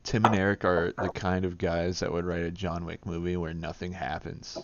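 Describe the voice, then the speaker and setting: A man's voice: sits low, level tone, normal speed, muffled recording, slight background noise. A man joining a stream call as a guest, teasing the host and calling him bro and dude. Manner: teasing, laughing, calls people bro and dude. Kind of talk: casual chat.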